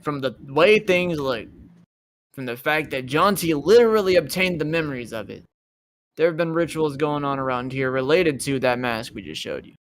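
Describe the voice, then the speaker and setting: A man's voice: a little high, expressive, normal speed, a little hiss or hum. A man playing tabletop roleplay, improvising an insistent, confrontational interrogation and chatting with the table.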